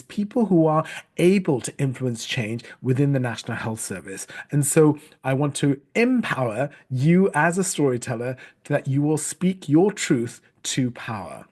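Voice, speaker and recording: A middle-aged man from Sri Lanka coaches staff on storytelling in a recorded talk. He speaks in an earnest, measured way, often restarting phrases with ums and uhs, and sometimes spells words out letter by letter. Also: a little high, darkish tone.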